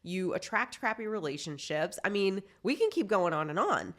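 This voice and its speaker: A woman's voice: medium pitch, sing-song, moderate pace, a bit bright. A woman in her forties, a life coach hosting her own show, talking in a chatty, earnest way while still congested from a cold. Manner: chatty, earnest, lots of "like" fillers. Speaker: life coach and podcast host. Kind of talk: casual self-help talk to camera.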